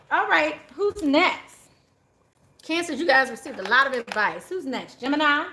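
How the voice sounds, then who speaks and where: A woman's voice: sits high, fairly bright tone, reverberant, a little hiss or hum. A woman giving a casual, chatty tarot reading to her viewers, laughing often as she goes. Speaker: tarot reader.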